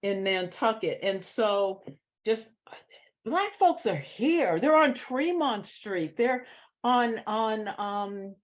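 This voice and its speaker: A woman's voice: medium pitch, expressive, slow. An American woman, a screenwriter, speaking emphatically and with passion in a question-and-answer session after a script reading. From Boston, United States. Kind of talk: post-reading Q&A talk. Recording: dull lo-fi sound.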